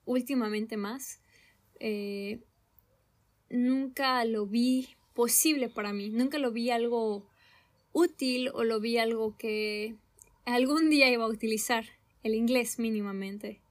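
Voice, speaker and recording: A young Mexican woman chatting in a relaxed conversation, her voice sitting a little high. She takes her time and pauses often with small hesitations as she thinks out loud. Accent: Mexican Spanish. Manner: slow, thoughtful, with many hesitations. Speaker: conversation partner. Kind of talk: casual conversation.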